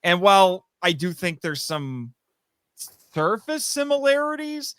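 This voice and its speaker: A man speaking as a podcast guest in an animated, casual discussion, wandering into tangents with stammering restarts and frequent chuckles. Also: high-pitched, a little slow, smooth, no echo. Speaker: podcast guest, voice actor and writer.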